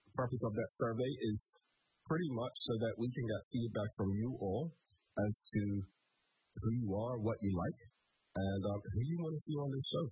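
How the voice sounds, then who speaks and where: A man's voice: mid-range voice, normal speed, darkish tone, breathy edge, no echo, faint music behind, low-quality recording. A man hosting a morning talk show, stuffed up from a cold, chatting loosely with many fillers.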